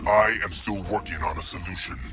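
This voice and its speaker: An actor playing a stern, cautious alien brute warlord who scolds and commands gravely in a video game cutscene. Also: male voice, low voice, brisk, close to an everyday voice. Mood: stern warning. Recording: loud game music behind the voice.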